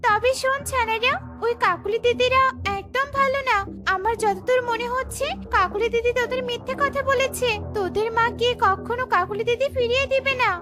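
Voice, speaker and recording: A woman voicing a feisty but soft-hearted female bird who owns a pond in a cartoon, shrill and emphatic. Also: fairly quick, hardly any pauses, soft background music. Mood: sympathetic, warning.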